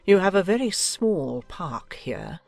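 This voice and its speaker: A woman's voice: lowish voice, very animated, takes it slowly, gravelly, a little mellow, dry recording, soft background music, full character voice. A woman playing a haughty, imperious, ill-tempered older noblewoman in an audiobook drama, speaking in a stiff, formal, commanding way. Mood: stiff, disdainful.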